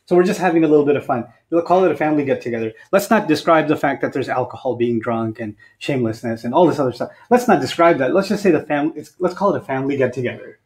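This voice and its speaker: This is a man around his forties with a notably high voice. He preaches like someone chatting across a table, animated and colloquial. He keeps tossing out rhetorical questions and slipping into acted-out asides. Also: fast talker, few pauses. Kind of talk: conversational sermon with acted-out asides. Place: indoors at a lectern. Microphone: lapel mic.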